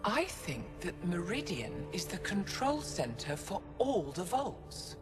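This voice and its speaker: Animated alien professor over music. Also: female voice, lowish voice, a little slow. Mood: grave, urgent. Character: older alien female professor, grave, knowledgeable, wondering. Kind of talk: video game dialogue.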